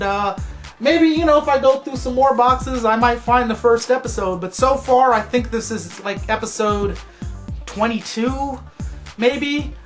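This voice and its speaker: A woman chatting casually to viewers as a video host, in an offhand, rambling way. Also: on the high side.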